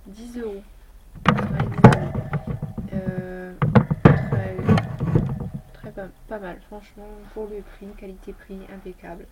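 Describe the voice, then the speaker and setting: A woman's voice: mid-range voice, flat delivery, takes it slowly, long pauses, background noise. A woman beauty vlogger with a slight head cold, chatting casually and rambling through a review of her toiletries. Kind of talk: casual product review chat.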